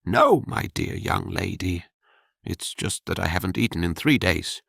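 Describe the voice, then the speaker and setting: A man's voice: deep voice, measured pace, creaky, fairly bright tone, slightly rough, dry recording, clean studio sound, exaggerated voice. A man doing a courtly wry ex-king. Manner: courtly, wry, old-fashioned speech. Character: wandering former king, hungry young-ish man, courteous and wry.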